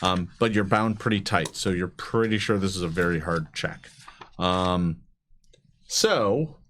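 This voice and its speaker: A man working as game master, improvising narration and explaining rules casually, with hesitant, pausing phrasing. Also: low voice, a bit bright, slight background noise.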